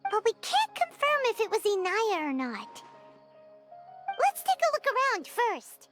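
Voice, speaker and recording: A woman voicing a small, excitable female fairy companion in a game, lively and exclamatory. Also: measured pace, heavily acted. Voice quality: high, chirpy and bright. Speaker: game character. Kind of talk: video game voice acting. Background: soft game music.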